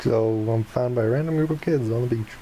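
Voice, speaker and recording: Mellow game player reading aloud over noise. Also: medium pitch, fast talker, poor audio. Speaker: let's player reading a visual novel aloud. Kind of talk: expressive read-aloud with casual asides.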